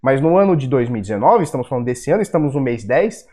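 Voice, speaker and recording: A man's voice: mid-range voice, big pitch swings, fast talker, mellow. A young Brazilian man with a Brazilian Portuguese accent, hosting a casual market-analysis video, blunt and profane, with heated rants. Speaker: crypto video host.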